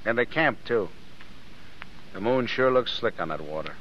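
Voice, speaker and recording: Low-voiced tough young American drifter played by an actor. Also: male voice, lively intonation, normal speed, close to an everyday voice. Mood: wistful. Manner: slangy, clipped tough talk. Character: restless tough young drifter and reluctant thief, gruff but soft underneath. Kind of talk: 1930s-40s American radio drama acting. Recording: muffled, noisy old radio recording.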